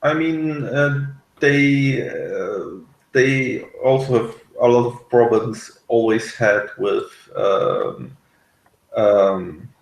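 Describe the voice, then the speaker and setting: A man in casual discussion, speaking hesitantly and often pausing to search for words. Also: mid-range voice, vocal fry, echoey room, rough recording. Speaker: podcast reviewer and discussion panelist.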